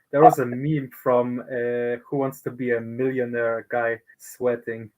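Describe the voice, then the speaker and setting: A man's voice: mid-range voice, level tone, a little mellow, rough recording. A man in his early thirties, a guest on a casual live stream, laughing often between remarks. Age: 30s. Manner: slow, laughs a lot.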